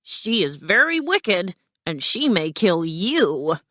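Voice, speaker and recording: Woman as a slow, foreboding male gate guardian. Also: on the low side, big pitch swings, pushed and bright, no echo, clean studio sound, exaggerated voice. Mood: cautioning. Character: male city gate guardian, amused, ominously warning.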